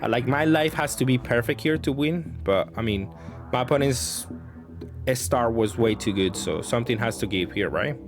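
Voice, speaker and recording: Man, mid-range voice, casual game commentary, halting think-aloud delivery, prominent background music. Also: mid-range voice. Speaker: card game player commentating on own matches.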